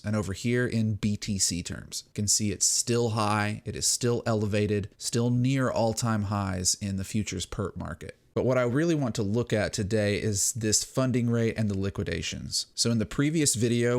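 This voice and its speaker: A man with a somewhat low voice that sounds hoarse and a little scratchy today. He hosts his own analysis channel and talks viewers through charts at a steady, explanatory pace. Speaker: crypto analysis channel host. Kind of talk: screen-share market analysis talk.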